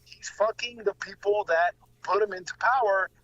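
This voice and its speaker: Male guest commentator, high sharp voice, blunt and sweary, halting delivery, muffled lo-fi call sound. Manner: blunt, profane, halting with repeated phrases. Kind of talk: casual political commentary.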